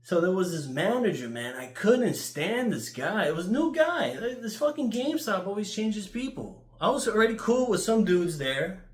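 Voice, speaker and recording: A man with a New York accent telling casual, rambling stories in an animated, crude way, swearing freely. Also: high voice, normal speed, echoey room. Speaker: YouTuber.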